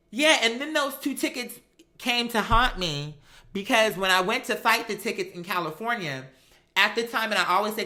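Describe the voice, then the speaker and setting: This is a bright, forceful voice with an American accent, belonging to someone hosting a live stream. The delivery is casual, sassy and emphatic storytelling, full of slang and acted-out exchanges. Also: medium pitch.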